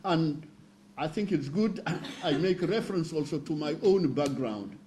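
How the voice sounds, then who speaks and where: Panelist, male, from northern Senegal; measured explainer with a higher-pitched, mellow voice. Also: animated, a little hiss or hum. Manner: measured, explanatory, with fillers and restarts. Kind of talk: roundtable talk.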